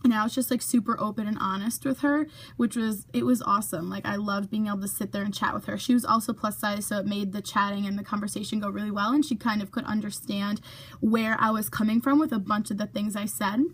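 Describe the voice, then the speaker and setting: A woman's voice: medium pitch, fairly even intonation, fast, a bit bright. A young woman chatting casually to her camera in a vlog, full of fillers, sometimes dropping to a whisper for asides. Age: young adult. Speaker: YouTuber.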